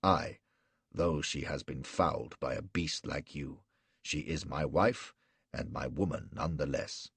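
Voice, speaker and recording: A slow gloating warlord, as a man puts him on. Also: a bass voice, a bit bright, slightly rough, no room sound, heavily acted. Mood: menacing. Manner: slow, gloating, measured threats. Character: menacing cruel male warlord, cold and mocking. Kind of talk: audiobook character reading.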